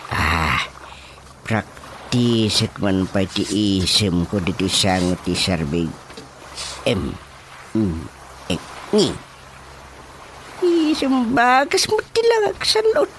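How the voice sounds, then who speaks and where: An actor with an Ilocano accent playing a flustered, excitable older village chairman in a comic radio drama, stammering and muttering to himself. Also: fairly low pitch, slow, pauses often, slight background noise, heavily acted. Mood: muttering, excited. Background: prominent music.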